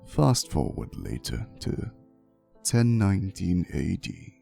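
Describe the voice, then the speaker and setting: Man playing a slow, low-voiced, tender vampire boyfriend. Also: sing-song, creaky, slightly rough, faint music behind, exaggerated voice. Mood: wistful, romantic. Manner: soothing, unhurried, gently teasing, with dramatic pauses. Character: ancient vampire boyfriend, tender, wistful, teasingly fond of humans. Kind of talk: ASMR roleplay, intimate storytelling to the listener.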